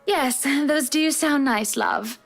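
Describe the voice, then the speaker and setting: An actress playing a posh, gracious and assertive wealthy wife in a visual novel game, airy and gushing yet commanding. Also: female voice, sits high, slow. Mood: polite, commanding. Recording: music under the voice.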